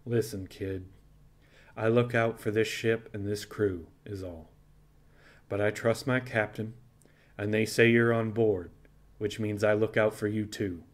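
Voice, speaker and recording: A man voicing a gruff, protective and skeptical animal crewman of a pirate ship, speaking flatly and tersely. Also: fairly low pitch, unhurried, close to an everyday voice. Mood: softened, protective.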